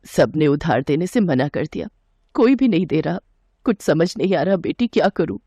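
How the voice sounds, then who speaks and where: A woman's voice: low-pitched, lively intonation, normal speed, dark tone, slightly rough, no room sound, clean studio sound, pitched below the performer's own voice, heavily acted. A woman voicing a weary, anxious poor mother in a story, downcast in manner. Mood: worried. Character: worried, weary poor mother of a grown daughter.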